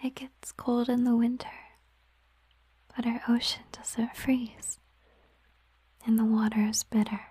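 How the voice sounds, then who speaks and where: A woman voicing a gentle, affectionate siren in a whispered ASMR roleplay, speaking softly and intimately in an unhurried, musing way, close to the microphone. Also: on the high side, flat delivery, no echo. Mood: soft, wistful. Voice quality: soft, breathy whisper. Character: gentle, affectionate female siren, a mermaid girlfriend. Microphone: close microphone, dry sound.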